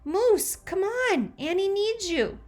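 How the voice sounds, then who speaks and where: A woman voicing a bossy seven-year-old girl who scolds loudly and draws out her words. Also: very high voice, sing-song, faint music behind, pitched above the performer's own voice, full character voice. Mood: shrill scolding. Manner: loud, scolding, drawn-out words.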